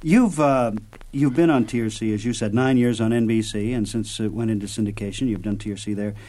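A man's voice: fairly low pitch, steady tone, fairly quick, warm tone. A man working as a radio show host, interviewing a guest in a casual, conversational way.

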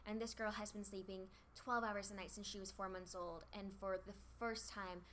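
A woman who works as a nanny, telling an emotional story softly to a group, with restarts. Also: medium pitch, fairly even intonation, moderate pace, slight background noise. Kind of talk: casual group sharing.

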